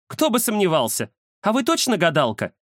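A man's voice: sits high, huge pitch swings, brisk, dry recording, clean studio sound, voice pushed up, heavily acted. A man voicing a vain, touchy woman journalist, huffy and self-important, in a children's story. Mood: indignant.

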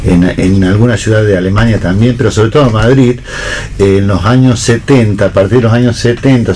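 A man recounts things in a low voice during a discussion. He goes in measured, short phrases with pauses. Background noise is clearly audible. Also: warm tone. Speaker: radio talk show panellist.

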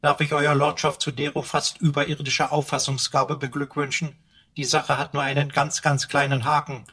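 A man's voice: a little high, little pitch movement, normal speed, sharp tone, slightly rough. An actor voicing a verbose male computer assistant in a radio drama, florid, over-formal, servile and cheeky. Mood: mock-reverent.

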